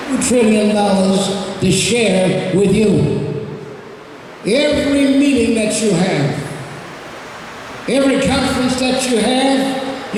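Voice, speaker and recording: A man's voice: high voice, a little mellow. A man giving an earnest, emphatic goodwill message from the audience at an event, speaking into an awkward handheld microphone. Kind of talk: impassioned public address. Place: large event hall. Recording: echoey hall from far off, noisy.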